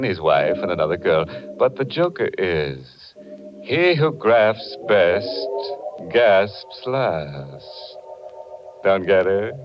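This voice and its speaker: A man playing a sardonic, ghoulish horror-show host in 1940s radio style, sly and tongue-in-cheek. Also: low-pitched. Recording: muffled 1940s radio, organ-era music behind.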